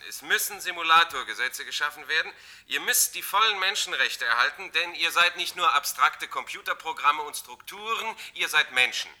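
An actor in a German radio play voicing an impassioned champion of rights, declarative and emphatic. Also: male voice, a little high, lively intonation, normal speed, sharp tone. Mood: fervent. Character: impassioned male champion of simulated people's rights.

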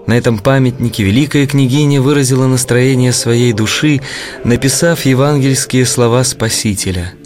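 A man narrating a religious historical audio play from a script in a solemn, measured way. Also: mid-range voice. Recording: clear music bed under narration.

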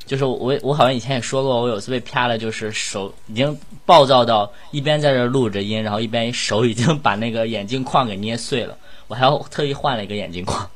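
Male voice actor off script, mid register, informal and bluntly expressive chat. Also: mid-range voice, fast, few pauses. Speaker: voice actor in an audio drama. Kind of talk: casual chat.

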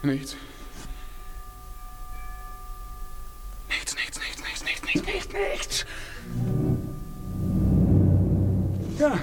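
An actor playing a desperate, tormented lover and father, declaiming between whispers and outcries in 1950s German radio drama style. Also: mid-range voice. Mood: tormented despair. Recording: noisy 1950s radio play recording.

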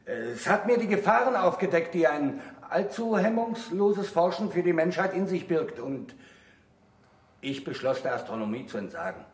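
An earnest young monk, echoey room. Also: male voice, on the high side, very animated. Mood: earnest, restrained. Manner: formal, deliberate, rhetorical delivery. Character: earnest, troubled young monk of peasant origin. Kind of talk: German stage-like radio play acting. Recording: echoey room, old radio play.

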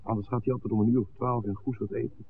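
An uneasy garage worker with a lowish voice, acted by a man, insistent and worried in a vintage radio drama. The recording is narrowband and slightly noisy. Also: little pitch movement, fast talker, natural voice. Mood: anxious. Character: Tom, anxious garage worker. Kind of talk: 1960s Dutch radio drama acting.

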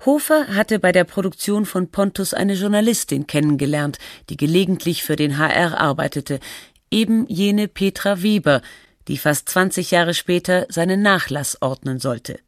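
An actress playing a sober, analytical documentary narrator, reading scripted text in a formal, measured manner. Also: female voice, lowish voice, lively intonation, no room sound, clean studio sound, close to an everyday voice.

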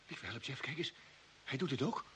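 An actor playing an indignant, forthright space commander in an older Dutch radio play, husky and forceful. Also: male voice, medium pitch, expressive, moderate pace, slight background noise. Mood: tense, questioning.

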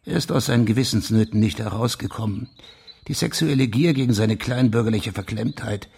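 Very husky, gravelly police official. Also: male voice, on the low side, brisk, darkish tone. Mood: grave, deducing. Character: police official laying out his grim theory of a crime. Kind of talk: German crime radio drama acting.

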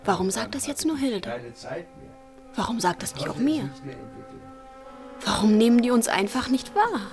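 Headstrong schoolgirl, radio drama: urgent, inquisitive, fairly high, light music underneath. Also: expressive, measured pace. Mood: frustrated. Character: impatient, determined teenage schoolgirl, inquisitive and headstrong. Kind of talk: German radio drama acting.

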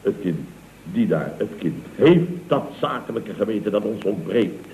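A man's voice: on the low side, very animated, moderate pace, darkish tone. A man playing a scheming, self-pitying boss of a building firm in a radio comedy drama, theatrical and wheedling. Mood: pompous. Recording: dull old radio recording with hiss.

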